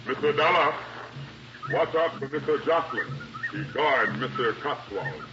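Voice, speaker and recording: An actor playing a huge, loyal Haitian guide with a Haitian accent, speaking simple broken English in an old radio drama. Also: male voice, mid-range voice, measured pace, close to an everyday voice. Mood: wary. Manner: broken English, speaks of himself in third person. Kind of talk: 1950s radio drama acting. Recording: dull, noisy old radio recording.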